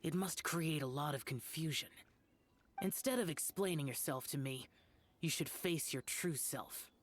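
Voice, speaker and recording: A woman's voice: low-pitched, lively intonation, a little slow, slight background noise. A woman voicing an aloof, stern young male immortal warrior in an anime-style video game, curt, cold and solemn. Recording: faint music behind the voice.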